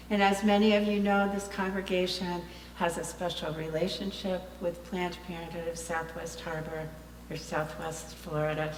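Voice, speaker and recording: Distant, echoey woman reading a service announcement. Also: fairly low pitch. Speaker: congregation service leader. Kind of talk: prepared offering announcement, read aloud. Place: large indoor congregation hall. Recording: distant, echoey hall, faint hum.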